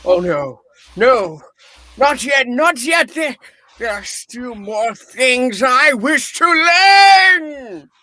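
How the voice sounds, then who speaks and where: A man's voice: very high-pitched, big pitch swings, drawn-out words, pushed and bright, dry recording, heavily acted. A man voicing an anxious old miser who speaks in a dramatic, beseeching way in a radio play reading. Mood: alarmed, pleading. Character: Ebenezer Scrooge, anxious pleading old miser.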